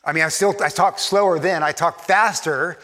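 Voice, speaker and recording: A man from Texas, a pastor preaching a sermon to his church, talking fast and stumbling over repeated words, his Texan accent worn faint by years in New York. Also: a little high, bright, forceful tone, in a large hall.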